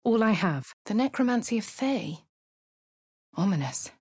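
An actress with a posh English accent playing a composed adventurer in a game, speaking in a dry, measured, lightly sardonic way. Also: female voice, mid-range voice, sing-song, a little mellow, breathy edge, no echo. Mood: wry. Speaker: player character. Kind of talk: game voice lines. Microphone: studio mic.

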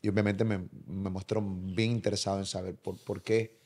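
A man, hosting a casual podcast interview with halting, careful phrasing and blunt slang. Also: sits low, level tone, darkish tone, breathy edge. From Puerto Rico. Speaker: interview host. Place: small indoor room by a kitchen. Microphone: podcast microphone on boom arm.

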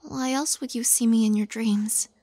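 A woman playing a shy, tender young witch girlfriend in an intimate roleplay, speaking softly and haltingly in a breathy voice that often drops to whispers. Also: fairly high pitch, fairly even intonation, dry recording, lightly acted. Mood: tearful, apologetic. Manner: hesitant, halting, soft-spoken, often dropping to whispers.

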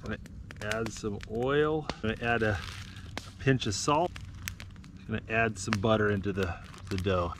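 A man in his thirties hosting an outdoor vlog, explaining bushcraft skills in a relaxed, practical way while out in a forest. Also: lowish voice, normal speed, long pauses, background noise. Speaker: outdoor channel host. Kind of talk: casual outdoor vlog narration. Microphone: action camera, worn on the body or close up.

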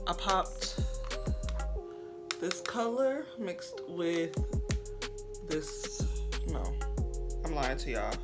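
A woman's voice: lowish voice, big pitch swings, drawn-out words, pauses often, loud music behind the voice. A young woman with a cold, chatting casually through a makeup tutorial in her bedroom. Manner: chatty, upbeat, talks to viewers as friends. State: stuffy, sick with a cold. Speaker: beauty vlogger. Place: bedroom, indoors. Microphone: camera facing her at close range.

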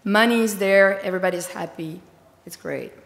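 A woman with a slightly hoarse, tiring voice giving a conference talk, fluent but with many fillers. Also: medium pitch, normal speed. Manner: fluent, filler-heavy explaining. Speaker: conference speaker. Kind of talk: conference presentation. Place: large conference hall. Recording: echoey hall with long reverb.

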